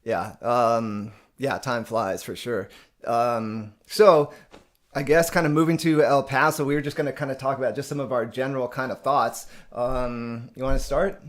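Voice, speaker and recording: A man's voice: mid-range voice, fairly even intonation, moderate pace. A man from Texas hosting a live stream chat, talking casually with frequent "um" fillers. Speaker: host.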